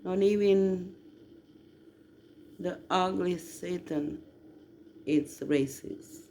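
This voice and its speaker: A man from southern Italy, rambling casually to listeners in a halting, emphatic way. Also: sits high, gravelly, a little mellow. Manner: halting, drawn-out delivery with emphatic questions. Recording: noticeable background noise.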